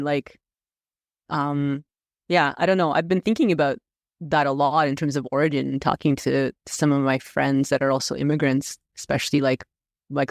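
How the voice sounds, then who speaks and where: A man's voice: a little high, dry recording, studio quality. A man from Russia, whose first language is Russian, chatting as a podcast guest, rambling with many fillers and restarts. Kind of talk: casual interview chat.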